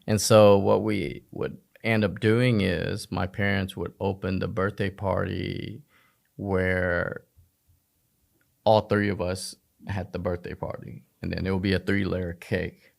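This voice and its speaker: A man's voice: sits low, level tone, measured pace, gravelly. A man telling personal stories casually and informally, with self-deprecating rhetorical questions. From United States, raised in Oklahoma and elsewhere, Vietnamese family. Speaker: podcast guest, physician. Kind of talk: casual personal storytelling in a conversation.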